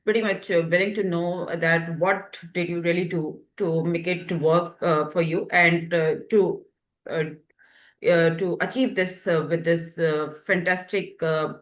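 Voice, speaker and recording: A woman's voice: on the low side, steady tone, unhurried, reverberant. A woman hosting a webinar interview, polite and hesitant, with many fillers. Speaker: webinar host.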